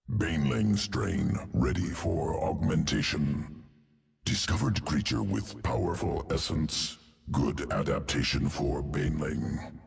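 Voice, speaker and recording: An actor playing an inhuman insectoid evolution master in a video game, clinical and detached, speaking in clipped, guttural phrases. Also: male voice, extremely low pitch, heavily acted. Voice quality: gravelly, guttural. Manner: clipped telegraphic phrases without articles, deliberate. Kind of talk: video game character dialogue.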